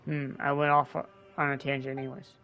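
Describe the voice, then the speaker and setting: A man's voice: medium pitch, completely flat delivery, normal speed, muffled recording, natural voice. A man voicing a weary, guilt-ridden old scholar of gods, reading earnestly with small restarts. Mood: musing.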